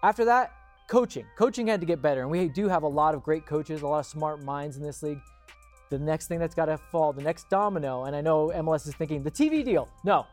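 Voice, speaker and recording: A man's voice: a little high, animated, fast, darkish tone, soft background music. A man hosting a sports show, giving casual, opinionated commentary in an emphatic way.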